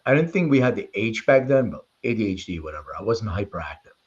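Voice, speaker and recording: A man's voice: on the low side, sing-song, fast, muffled recording. A man from Lithuania, raised in Philadelphia, speaking as an interview guest in an informal, explanatory, anecdotal way. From born in Lithuania, raised in Philadelphia from age six. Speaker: interview guest, precision medicine company leader. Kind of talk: conversational interview answers and personal storytelling.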